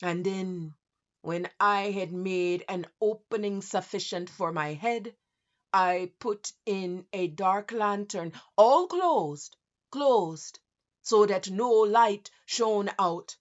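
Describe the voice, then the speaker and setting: A woman voicing a nervous, obsessive mad narrator in a Grenadian accent, in a deliberate, theatrical reading of a gothic horror story. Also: mid-range voice, highs cut off, lightly acted. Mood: cunning, gloating. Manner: deliberate, theatrical, halting phrases. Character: nervous madman narrator insisting on sanity, obsessive and cunning.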